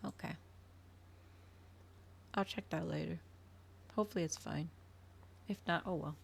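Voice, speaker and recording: A woman's voice: deep voice, unhurried, gravelly, slight background noise. A woman livestreamer chatting casually to her viewers, rambling, trailing off and thinking aloud. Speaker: livestreamer hosting an ASMR and gaming channel.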